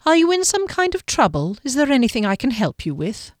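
A woman voicing a fiery, quick-tempered young woman rider in an audiobook, blunt and demanding. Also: sits high, big pitch swings, dry recording, clean studio sound, pitched above the performer's own voice. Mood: concerned. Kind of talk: audiobook character dialogue.

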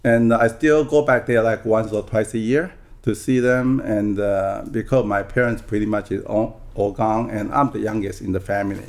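A man in his sixties from Taiwan, a guest on a podcast interview, talking in a soft, halting way with many restarts. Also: fairly low pitch, steady tone. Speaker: guest real estate broker.

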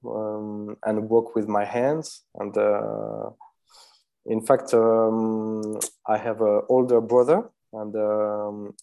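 Man, mellow tone, hesitant with fillers, interview guest. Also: on the low side. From Switzerland. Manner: hesitant, many "uh" and "um" pauses, soft laughs. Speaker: independent watchmaker guest. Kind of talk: relaxed interview answers.

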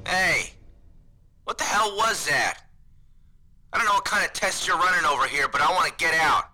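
A man's voice: fairly high pitch, expressive, normal speed, pushed and bright, a little hiss or hum. An actor playing an agitated, wisecracking man in his thirties in an audio drama, brash and indignant, with old-fashioned slang. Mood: alarmed. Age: 30s.

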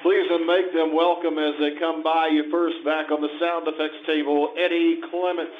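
Male live-show host, rather high voice, announcing to a theatre audience on a dull, noisy recording. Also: flat delivery, normal speed. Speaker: host introducing the cast.

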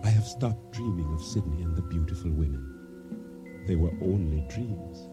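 An actor playing a reflective, humble island boatman who tells his story in a measured radio drama narration. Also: low-pitched. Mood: wistful. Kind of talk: dramatic first-person radio play narration. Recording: radio drama with music underneath.

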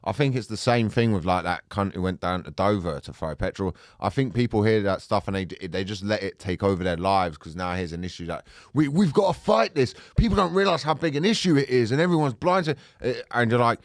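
A man's voice: on the low side, lively intonation, a little fast, few pauses, no echo, clean studio sound. A man from Kent, co-hosting a podcast in sweary, jokey casual chat. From Kent, England. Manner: jokey, sweary banter with frequent laughter. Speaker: podcast co-host.

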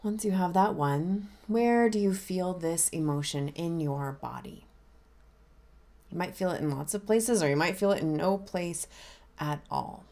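A woman minister leading a guided meditation in a gentle, unhurried, inviting way. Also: on the low side.

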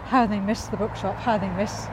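A woman who hosts a podcast, chatting in a calm, reflective way with frequent restarts while walking outdoors. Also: medium pitch, normal speed. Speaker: podcast host. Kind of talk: casual outdoor conversation. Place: walking outdoors by a cycle path in a city. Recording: street noise outdoors.

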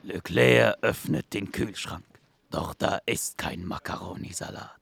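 A man reading scripted narration for a crime audio drama in a creaky voice. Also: low voice, a little slow, heavily acted.

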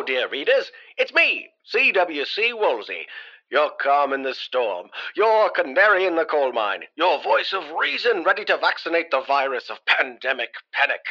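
An actor playing a pompous, smugly callous newspaper columnist of 1918 in a mannered vintage radio style. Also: male voice, on the high side, bright, forceful tone. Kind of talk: vintage radio editorial acting. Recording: dull old-time radio sound.

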